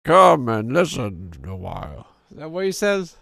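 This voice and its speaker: A man in his thirties with a sore, hoarse throat and a gravelly edge, talking slowly with a lively, swinging pitch. He streams a game and reads its story text aloud, sinking into a deeper storyteller voice. The sound is close and dry. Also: dry recording. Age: 30s. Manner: drops into a deep storyteller voice for the narration.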